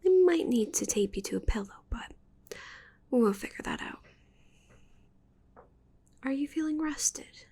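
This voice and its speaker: Possessive witch for ASMR roleplay, woman performer: slow, breathy whisper with creaky edges, doting yet menacing. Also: on the high side, animated, warm tone, full character voice. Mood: doting, clingy. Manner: slow, intimate, cooing menace. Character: possessive, needy young witch doting on shrunken captive.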